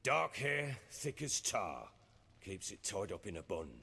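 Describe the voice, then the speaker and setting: An actor playing a gruff, proud middle-aged warlord and father in a video game, speaking in folksy, archaic, blustering phrases. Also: on the low side, very animated, unhurried, pushed and bright, big-room sound, exaggerated voice. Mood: earnest. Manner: blustering, folksy archaic speech, swinging emphasis. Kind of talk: dramatic video game acting.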